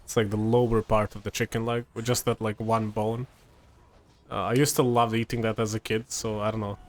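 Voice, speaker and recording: A young man from Serbia, whose first language is Serbian, giving relaxed, chatty gameplay commentary full of fillers and restarts. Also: lowish voice, a little fast.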